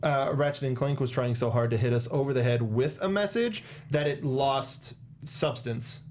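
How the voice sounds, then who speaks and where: A young man hosting a movie discussion, talking casually with halting, repeated words into a close studio microphone. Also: mid-range voice, a little mellow. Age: young adult. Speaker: show host. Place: small indoor podcast studio. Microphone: close studio microphone with foam cover, headset monitoring.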